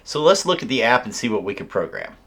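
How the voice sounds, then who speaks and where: A man's voice: mid-range voice, very animated, fast, fairly bright tone, a little hiss or hum. A man giving a casual hands-on product tutorial, explaining things informally step by step as a reviewer. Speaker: radio reviewer.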